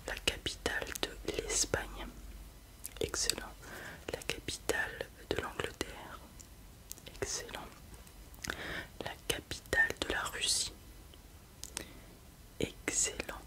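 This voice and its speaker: An ASMR creator whispering softly and without voice while running a roleplay exam, giving gentle praise after every answer. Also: slow pace, pauses often. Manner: soft, unvoiced whispering throughout. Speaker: ASMR creator playing an examiner. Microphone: close mic with left and right ear whispering.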